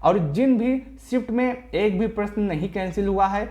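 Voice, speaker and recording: A man's voice: high voice, moderate pace, darkish tone. A man giving an informal explanatory lesson as a coaching presenter, going step by step and repeating his phrases.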